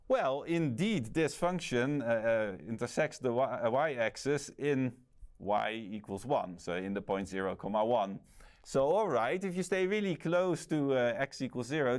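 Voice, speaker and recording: Dutch male lecturer, animated pitch, self-correcting, filler-heavy board explanation. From Netherlands. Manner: thinks aloud, self-correcting, many fillers. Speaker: math lecturer.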